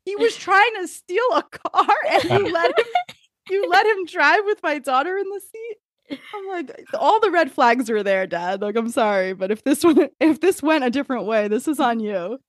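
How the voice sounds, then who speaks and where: A woman's voice: sits high, normal speed, no echo, studio quality. A woman co-hosting a podcast, telling family stories with a lot of laughter. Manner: animated, laughing storyteller. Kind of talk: casual podcast chat.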